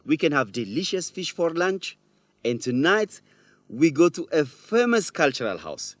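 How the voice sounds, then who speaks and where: An actor playing an earnest young student reporter, polite but probing, in a radio drama for English learners. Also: male voice, fairly high pitch, big pitch swings, measured pace, dry recording. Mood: eager. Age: young adult.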